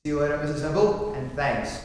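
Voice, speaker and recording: A man's voice: on the high side, brisk, lightly acted. A man playing an earnest, urgent superhero in an old-time radio play. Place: theatre stage, live performance. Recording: very echoey theatre hall, faint noise.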